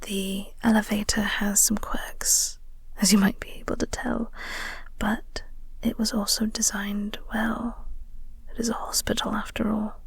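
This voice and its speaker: A woman playing a flirty, caring coworker in an intimate audio roleplay, speaking softly and gently in a breathy, reassuring way. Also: medium pitch, flat delivery, unhurried, dry recording, exaggerated voice. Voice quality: soft, breathy, whispery.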